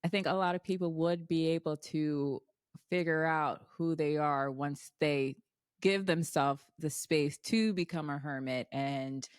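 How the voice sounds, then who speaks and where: A woman in her thirties hosts a podcast in a chatty, relaxed way. Her voice sits low, and it is recorded dry and close. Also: a little slow, clean tone, dry recording. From Florida. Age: 30s. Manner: chatty, laughs easily. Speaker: podcast host. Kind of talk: casual interview chat.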